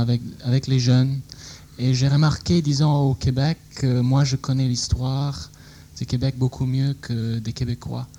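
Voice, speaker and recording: Man, mellow, hesitant. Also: medium pitch, slight background noise. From Vancouver, Canada. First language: English. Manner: hesitant, many fillers like "disons". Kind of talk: audience question at a talk.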